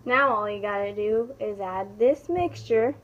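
A young adult woman giving a casual, comedic cooking tutorial in a home kitchen, with playful asides and drawn-out words. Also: medium pitch, fairly bright tone, lo-fi sound. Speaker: host of a home cooking video. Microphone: camera on counter at about arm's length. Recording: background kitchen noise, clicks.

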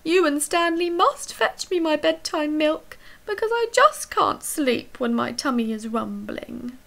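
A woman voicing a big furry monster who whines and pleads in a high wail. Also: animated, a little slow, vocal fry, a bit bright, full character voice. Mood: whining. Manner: whiny, wailing, high-pitched pleading.

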